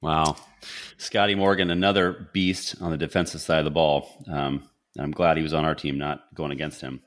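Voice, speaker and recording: A man's voice: a bass voice, level tone, moderate pace. A middle-aged man hosting a podcast, interviewing in a casual, rambling way with questions that come in several parts. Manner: rambling, multi-part questions. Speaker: podcast host.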